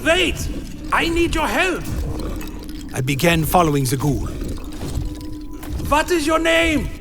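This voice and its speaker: A man playing an anxious vampire who recounts his own dark tale in a dramatic radio play. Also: very high voice, wildly swooping pitch, slow pace, music in the background, exaggerated voice. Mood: anxious, insistent, then tense, recounting. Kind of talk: first-person dramatic narration, radio play.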